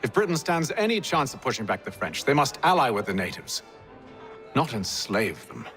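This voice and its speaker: An actor playing a composed, principled gentleman leader in a video game, speaking formally with measured, deliberate phrasing. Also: medium pitch, sing-song, faint music behind. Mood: measured, resolute. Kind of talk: video game cutscene acting.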